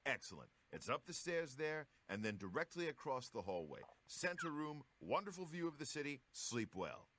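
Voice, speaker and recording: A man's voice: fairly high pitch, big pitch swings, moderate pace, few pauses, fairly bright tone. An actor playing a polite, obliging male innkeeper in a fantasy video game, speaking in short, courteous sales patter over some background noise. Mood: pleased. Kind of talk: scripted video game dialogue.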